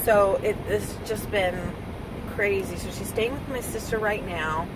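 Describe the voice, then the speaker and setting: A middle-aged woman vlogging at home, a little hoarse and worn out, talking in a rambling, heartfelt way. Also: mid-range voice, measured pace. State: hoarse, recovering from a lost voice. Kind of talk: casual personal update. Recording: loud background noise.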